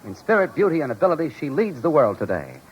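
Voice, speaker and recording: A man's voice: on the high side, sing-song, fast, slightly rough, poor audio. An American man announcing a 1940s radio commercial in a polished, emphatic sales style. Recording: old 1940s radio recording.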